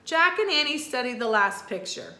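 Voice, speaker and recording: A woman teacher reading a children's story aloud deliberately and expressively, pausing to put questions to listeners. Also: a little high, sing-song, sharp tone, roomy sound.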